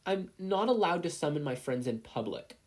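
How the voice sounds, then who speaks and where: A man's voice: a little high, very animated, normal speed, pitched above the performer's own voice. A man voicing a meek, polite and earnest small boy in an audiobook-style story. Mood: matter-of-fact. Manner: hesitant, stammering when scared or groggy.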